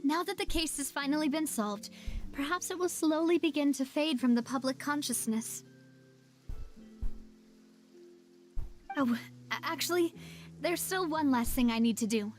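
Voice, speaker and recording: A woman playing a poised, spirited young lady in an anime game, speaking in a warm, candid, reflective way. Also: high voice, moderate pace, close to an everyday voice. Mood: reflective, tender. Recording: faint music behind the voice.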